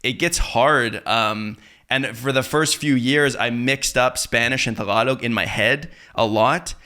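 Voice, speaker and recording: A man's voice: medium pitch, measured pace, bright, forceful tone. A Canadian man, a native English speaker, chatting casually as a podcast host. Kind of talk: casual conversational interview.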